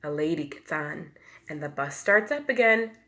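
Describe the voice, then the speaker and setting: A woman's voice: low-pitched, expressive, normal speed. A woman teacher reading a picture book aloud to young children, expressively, acting out the sound words and explaining things simply. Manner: acts out sound words, dropping to whispers and rising to loud calls; asks the young listeners questions and explains simply.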